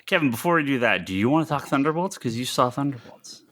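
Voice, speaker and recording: An American man co-hosting a casual discussion of industry news, often halting and repeating phrases. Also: medium pitch, animated, a bit bright. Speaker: podcast co-host.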